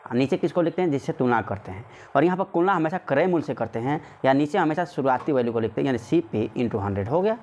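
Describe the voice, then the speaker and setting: A man's voice: mid-range voice, lively intonation, fast talker, few pauses, darkish tone, lo-fi sound. A man teaching an informal exam-prep maths lesson step by step, often repeating himself and answering his own questions. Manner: repetitive, asks questions and answers them himself.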